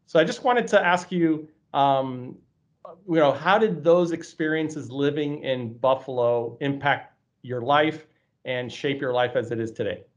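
A man's voice: medium pitch, measured pace. A man hosting an interview show, a campus president, friendly and loose with fillers and repeated words. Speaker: host, college campus president. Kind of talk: hosted interview. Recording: slightly dull lo-fi sound.

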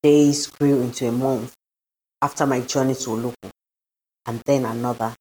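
Low-pitched woman narrating a story. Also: fairly even intonation, normal speed, dark tone, poor audio. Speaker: story reader. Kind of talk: scripted literary narration.

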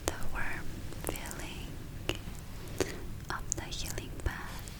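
A woman whispering in an ASMR roleplay as a gentle witch healer, speaking softly and soothingly with long, halting pauses. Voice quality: breathy whisper. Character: gentle, soothing witch giving a magic spa treatment.

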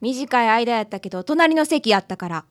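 A woman's voice: fairly high pitch, lively intonation, normal speed, no echo, studio quality, lightly acted. A woman with a Kansai accent playing a friendly, outspoken local woman in a radio drama. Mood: nostalgic. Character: friendly, outspoken local woman, also as her schoolgirl self. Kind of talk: scripted radio drama acting.

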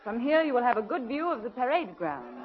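A woman's voice: on the high side, big pitch swings, fairly quick, noisy background. A stately magic queen. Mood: regal. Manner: stately, formal, theatrical delivery. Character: regal female magic queen, proud and commanding. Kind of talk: 1930s radio acting. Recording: dull lo-fi old radio recording.

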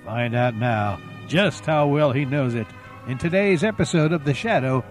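A man hosting an old-time radio program, introducing it with theatrical, drawn-out emphasis. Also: medium pitch, expressive. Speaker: show host. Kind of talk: scripted-sounding radio show introduction. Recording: loud background music under voice. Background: mysterious music.

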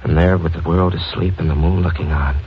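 A man's voice: sits low, fairly even intonation, a little fast, slight background noise, close to an everyday voice. A man playing a panicky, guilt-ridden husband. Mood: brooding. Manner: stammering, rising to panic. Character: frantic guilt-ridden young husband, paranoid. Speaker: Tate, the narrator. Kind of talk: 1940s radio drama acting. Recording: lo-fi old radio recording.

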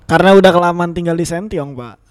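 A man's voice: sits high, quick pace. A man with a Jakarta Indonesian accent chatting casually on a podcast in slangy speech.

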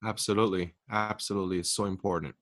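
A man's voice: fairly low pitch, steady tone, quick pace, a bit bright, no echo, studio quality. A young man whose first language is Korean, an author guest speaking earnestly on a faith podcast and restarting his words as he goes. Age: young adult. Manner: earnest, repeats words and restarts when emphatic. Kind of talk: faith-based podcast interview.

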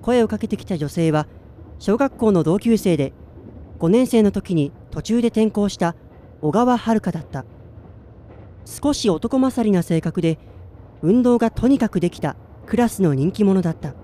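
A man's voice: sits high, lively intonation, moderate pace, dark tone, slight background noise. An actor playing a listless, self-doubting man in his twenties in a radio drama, plain-spoken in narration and dialogue. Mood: reflective, subdued. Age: 20s.

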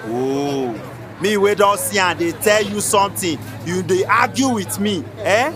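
High-pitched, excitable Nigerian villager. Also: male voice, measured pace. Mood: argumentative. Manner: argumentative, insistent, repeating himself. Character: excitable stubborn village man spreading market rumours. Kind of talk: Nigerian Pidgin radio drama. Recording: busy crowd and music behind.

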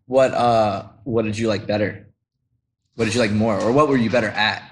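A man's voice: lowish voice, fairly even intonation, normal speed. A man hosting an interview, asking rambling questions with enthusiasm and frequent laughs. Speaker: podcast host, interviewer. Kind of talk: casual interview.

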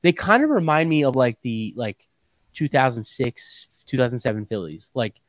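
A man's voice: mid-range voice, expressive, normal speed, dry recording. A young American man co-hosting a sports podcast in casual chat, often repeating himself for emphasis.

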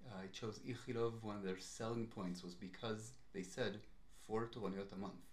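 A young man, co-hosting a podcast in casual chat, speaking in a measured, thoughtful way. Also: lowish voice, fairly even intonation, a little hiss or hum. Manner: measured, thoughtful, pauses to weigh words. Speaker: podcast co-host. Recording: roomy echo.

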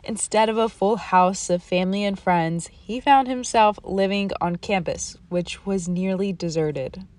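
A woman host reading scripted narration with an even, read-out delivery and asking interview questions. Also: mid-range voice, unhurried, dry recording.

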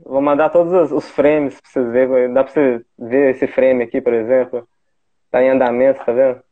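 A man's voice: medium pitch, level tone, moderate pace. A man, a digital artist, chatting casually and explaining every detail of his drawings with eager care. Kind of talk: casual chat. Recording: tinny phone-like line.

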